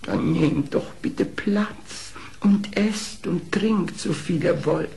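Vain, effusive baboon mother male actor, high voice, animal fable radio play. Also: takes it slowly, creaky, full character voice. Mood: gushing. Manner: effusive, flattering.

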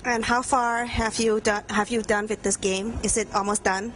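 A young woman interviewing students at a game event, asking short, bright questions into a handheld mic in a large room. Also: a little high, level tone, moderate pace, slight background noise, low-quality recording.

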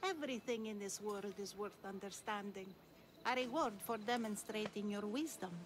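A woman's voice: medium pitch, normal speed, noisy background, close to an everyday voice. Woman as an enigmatic older lady. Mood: knowing. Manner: composed, cryptic. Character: enigmatic older ancient Greek woman, shrewd and testing.

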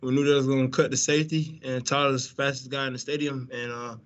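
Young guy answering press questions after a game, laid back, lots of uh and um, flat kind of delivery, dull webcam sound. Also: medium pitch, fast. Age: young adult. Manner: laid-back, many fillers and restarts. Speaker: college quarterback. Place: indoor press room, branded backdrop. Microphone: video call, webcam.

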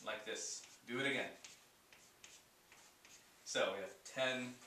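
A man in his forties teaching a chalkboard math lesson to camera, slow and unhurried, full of wonder, with fillers. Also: mid-range voice, sharp tone, slight background noise. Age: 40s. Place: room with a chalkboard, indoors. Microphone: camera at a distance. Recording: echoey room, voice from a distance.

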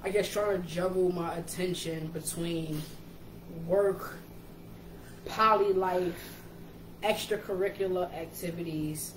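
Casual co-host: New York woman, thirties, animated and slangy, recorded in an echoey kitchen with background noise. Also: on the low side. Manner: animated, slangy, quick asides. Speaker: page admin and co-host. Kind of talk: casual chat on a livestream. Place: indoor kitchen. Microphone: camera or laptop mic.

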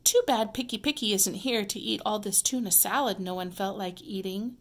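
A woman's voice: medium pitch, moderate pace, lightly acted. A woman reading the part of a reassuring, matter-of-fact older sister in a children's storybook. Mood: resigned.

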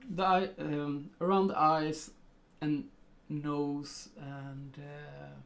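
Slow gravelly Swedish-accented man. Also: fairly high pitch, flat delivery. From Sweden. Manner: thinks aloud with many ums and uhs. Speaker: photo editing tutor. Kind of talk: casual screen-recorded tutorial.

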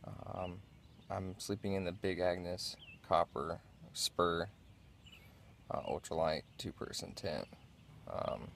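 Very deep, slow-talking man, casual vlog. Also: vocal fry, a bit bright, a little hiss or hum. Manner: low-key, halting, unscripted delivery. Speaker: camping gear reviewer. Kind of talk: casual vlog gear review. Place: inside a small backyard tent.

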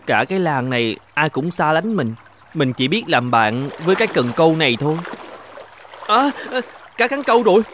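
High, lively young fisherman. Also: normal speed. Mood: wistful. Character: lonely, good-natured poor young village fisherman. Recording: music bed under the voice.

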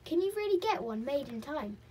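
A woman voicing excitable, dramatic young children from a storybook. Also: high-pitched, brisk, slight background noise. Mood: eager. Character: excitable young brother and sister, pleading and dramatic.

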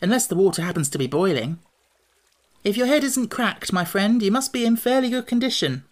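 A woman voicing a good-natured, sensible young boy in a storybook, brisk and soothing. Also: mid-range voice, expressive, pitched above the performer's own voice, lightly acted. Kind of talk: audiobook character voice.

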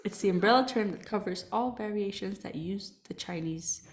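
A woman's voice: mid-range voice, normal speed, roomy sound. A young woman from Macau co-hosting a podcast over a video call, explaining things patiently and giggling now and then. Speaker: podcast co-host. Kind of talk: casual chat reading comments. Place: indoor room, video call split screen.

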